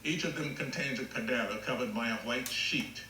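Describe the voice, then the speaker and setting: A man's voice: mid-range voice, brisk, sharp tone, roomy sound, a little hiss or hum, low-quality recording. A man reading stage directions as scripted screenplay narration at a table read.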